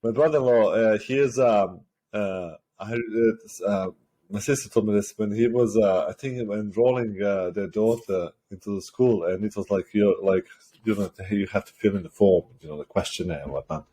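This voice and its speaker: A man on a comedy podcast, talking quickly with many fillers and hesitations. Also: lowish voice, mellow. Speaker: podcast co-host. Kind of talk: casual comedy chat.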